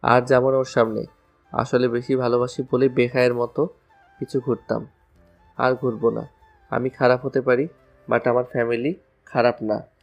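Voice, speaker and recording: A man playing a flat-toned, heartbroken college boy. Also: mid-range voice, normal speed, creaky, pauses often, faint music behind. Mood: hurt, resigned. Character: heartbroken young male college student, sulky and proud. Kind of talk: romantic audio story acting.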